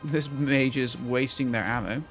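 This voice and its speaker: A male streamer with a croaky voice, chatting casually to his viewers as he thinks aloud and plans his moves in a strategy game. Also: medium pitch, steady tone, unhurried, music in the background. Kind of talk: casual gameplay commentary to chat.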